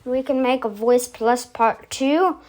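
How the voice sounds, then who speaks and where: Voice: high voice, takes it slowly, darkish tone. A video host giving casual vlog commentary, rambling and thinking aloud.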